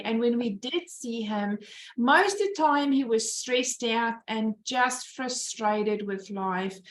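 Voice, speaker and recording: A South African woman in her fifties, telling her personal story in a presentation over a video call. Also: on the high side. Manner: earnest storytelling with rhetorical questions. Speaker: business owner giving a presentation. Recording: roomy echo.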